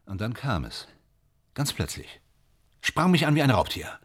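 Radio drama: preoccupied male stage actor, husky, very varied intonation, searching for words. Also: mid-range voice, very animated, unhurried. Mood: hesitant, fascinated. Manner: hesitant, searching for words, clipped phrases. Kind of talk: naturalistic German radio drama acting.

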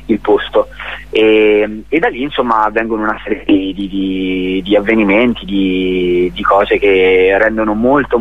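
A man from Italy giving a spontaneous radio interview as a theatre director, speaking haltingly and repeating words as he searches for phrasing. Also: on the low side, no echo, phone-quality sound.

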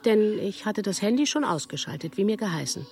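A woman's voice: medium pitch, expressive, a little fast, a little mellow, natural voice. A woman performing a precise, matter-of-fact first-person literary narration in a radio play. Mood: reflective, observant. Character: woman recounting her visit to a fortune teller, matter-of-fact.

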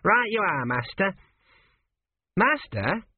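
A man reading an audiobook, voicing a plump, excitable young male apprentice embalmer who is keen to please. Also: high voice, slow, husky, no echo, voice pushed up, full character voice. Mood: eager. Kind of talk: audiobook character voice. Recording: tinny radio broadcast.

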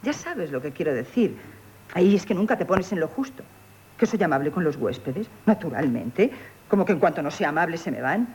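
A woman playing a proud, flirtatious, independent innkeeper in a radio comedy, self-assured and brisk, with a Castilian Spanish accent. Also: low-pitched, very animated, a little mellow, a little hiss or hum. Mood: coaxing. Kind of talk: theatrical radio comedy acting.

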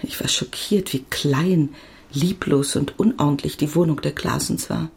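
A woman's voice: low-pitched, moderate pace, vocal fry, darkish tone. An actress playing a devoted woman doctor who tells of her love in a reflective, intimate radio drama monologue. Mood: reflective, tender.

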